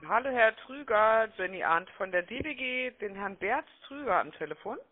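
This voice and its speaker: A woman making a phone sales pitch, chatty and persuasive, often checking for agreement, heard over a telephone line. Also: lively intonation, normal speed. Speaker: telemarketing caller. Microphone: telephone call.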